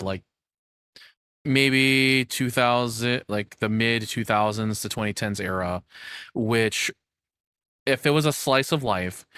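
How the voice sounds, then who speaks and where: A man's voice: fairly low pitch, a bit bright, no echo, clean studio sound. A man giving casual, off-the-cuff reaction commentary as a host, talking in an informal, rambling way.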